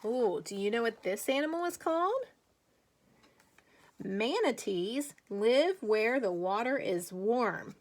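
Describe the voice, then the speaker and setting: A woman with a fairly high voice talks as a teacher to young children. She takes her time, very slow and deliberate, with emphatic read-aloud phrasing. Chatty asides and encouraging questions are part of her delivery. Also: animated.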